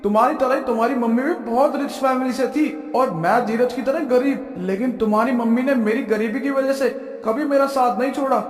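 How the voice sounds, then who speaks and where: A man's voice: extremely high pitch, brisk, hardly any pauses, soft background music. A man voicing a principled, wealthy middle-aged father in a cartoon story, speaking earnestly and moralizing. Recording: echoey room with long reverb.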